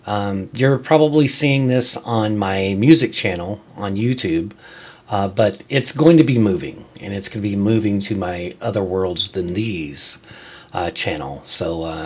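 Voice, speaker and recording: A man hosting his own creator show, talking to viewers in a casual, conversational way with frequent halting pauses. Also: lowish voice, a little mellow, breathy edge. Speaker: host of a creator video show, musician. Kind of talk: casual scripted-and-ad-libbed vlog to viewers.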